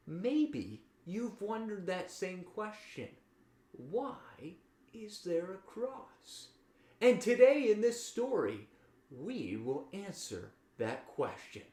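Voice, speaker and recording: A woman hosts a children's read-aloud with a lively, swinging intonation and deliberate delivery. She speaks slowly and expressively to young listeners. The room adds a clear echo, and guitar music plays behind her. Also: lowish voice. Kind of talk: scripted introduction for children.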